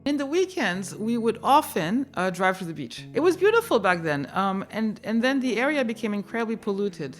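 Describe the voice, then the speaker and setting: A woman's voice: a little high, big pitch swings, normal speed, hardly any pauses, soft background music. A woman in her fifties, born in New York and raised in Haiti, telling her own life story in a reflective, candid way. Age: 50s. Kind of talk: personal storytelling.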